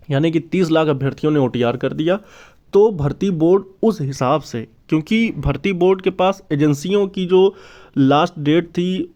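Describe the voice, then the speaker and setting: A man's voice: on the high side, a little mellow. A man giving an informal explanatory news update, repeating and rephrasing his points as he goes. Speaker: YouTube exam news presenter. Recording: dull, lo-fi sound.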